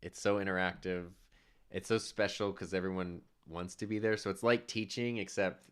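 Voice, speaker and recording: A man's voice: low voice, fairly even intonation, moderate pace, fairly bright tone, smooth. A man co-hosting a casual livestream podcast, speaking in an easygoing way and laughing often. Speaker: co-host.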